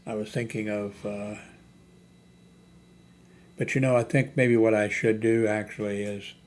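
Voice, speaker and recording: An older man hosting a casual unscripted vlog, thinking aloud in a hesitant, halting way as he browses products. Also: on the low side, little pitch movement, darkish tone. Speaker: YouTube host. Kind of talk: rambling, unscripted screen-browsing product chat. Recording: noticeable background noise, homemade recording.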